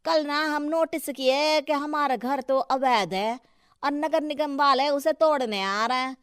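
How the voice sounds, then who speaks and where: A woman voicing a deferential, flattering townsperson in a cartoon story, with an effusive, fawning manner. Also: high-pitched, a little slow, dry recording, clean studio sound, pitched above the performer's own voice. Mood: pleading. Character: deferential, flattering townsfolk seeking the politician's favour. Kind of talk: scripted cartoon story dialogue.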